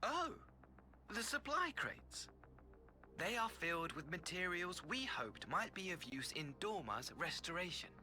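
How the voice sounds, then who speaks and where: Casting: oily game villain diplomat, very bright and piercing, wildly varied pitch, falsely courteous. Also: male voice, very animated, a little slow, slight background noise, heavily acted. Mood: false courtesy. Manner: silky, theatrical, mock-polite. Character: smug, scheming imperial ambassador, falsely courteous brother. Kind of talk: dramatic video game acting.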